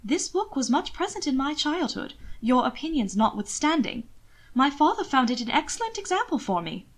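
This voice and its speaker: A woman voicing a prim, guarded young gentleman who speaks in stiff, defensive period language. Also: high voice, sing-song, moderate pace, voice pushed up. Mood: irritated. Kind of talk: fiction read aloud, character dialogue.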